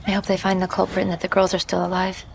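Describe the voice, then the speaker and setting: Gentle, spirited young lady of the manor: lower register, sincere, audio drama. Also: monotone, fast, vocal fry, a little hiss or hum. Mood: earnest. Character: kind, lonely, plucky young noblewoman. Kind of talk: audio drama character acting.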